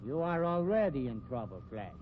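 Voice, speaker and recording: A man's voice: medium pitch, very animated, moderate pace, close to an everyday voice. An actor playing a sly, sneering carnival knife man with stilted formal phrasing in a 1950s radio drama. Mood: scornful. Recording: dull, noisy 1950s radio recording.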